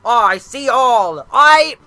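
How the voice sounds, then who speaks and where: A person voicing a menacing, boastful flying eyeball monster from a storybook, with drawn-out, growling, bombastic exclamations. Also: mid-range voice, animated, pushed and bright, no echo, faint music behind, voice pushed up, full character voice. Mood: gloating.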